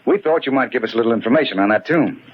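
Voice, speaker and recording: Actor as an animated police commissioner, old radio. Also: male voice, medium pitch, rapid-fire, close to an everyday voice. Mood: businesslike. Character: earnest, puzzled male police commissioner, businesslike and curious. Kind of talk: 1940s radio mystery acting. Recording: muffled, noisy 1940s radio recording.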